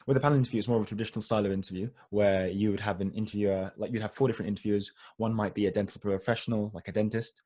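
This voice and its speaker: A man's voice: on the low side, darkish tone. A young man, a dental student from Egypt, giving a casual online webinar with many fillers over a computer mic. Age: young adult. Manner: chatty and informal, many fillers like "like" and "you know". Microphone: computer mic on a video call.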